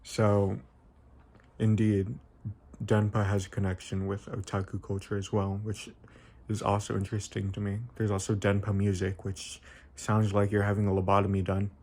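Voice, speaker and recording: A young man with a fairly low voice talks through an informal video essay. His delivery is very flat, with little rise or fall. He phrases things haltingly and often corrects himself as he explains. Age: young adult.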